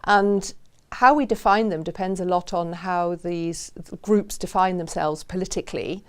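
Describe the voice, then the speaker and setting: A middle-aged woman speaks on a studio panel in a slightly low voice. She explains at length, pausing with frequent ums as she thinks. The lapel mic keeps her close and clean. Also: clear, resonant tone. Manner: thoughtful, frequent um pauses and restarts. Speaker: academic panelist. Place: studio with balloons.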